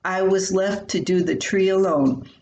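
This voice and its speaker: An older woman reading her own humorous personal column aloud in a folksy, expressive storytelling way. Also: mid-range voice, measured pace, lo-fi sound. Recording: roomy echo with faint hiss.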